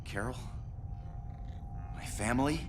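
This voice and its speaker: A man acting as an earnest, anxious superhero with a mid-range voice. He speaks slowly in dramatic game style, under loud music. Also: medium pitch, natural voice. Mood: anxious, pleading. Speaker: video game voice actor. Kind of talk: cinematic game cutscene acting.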